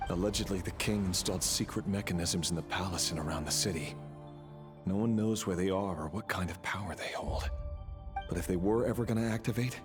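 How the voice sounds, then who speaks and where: An actor playing an earnest, brooding hero in a video game, narrating and speaking with dramatic intensity. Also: male voice, low voice, lightly acted. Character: earnest, brooding male hero recounting a dark night.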